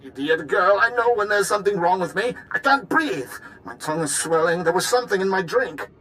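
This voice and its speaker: Blustering, imperious rich schemer. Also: male voice, sits high, lively intonation, a little fast, bright, forceful tone, faint music behind, poor audio. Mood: panicked, gasping. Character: pompous wealthy crime-linked businessman, imperious yet panicky. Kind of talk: video game voice acting.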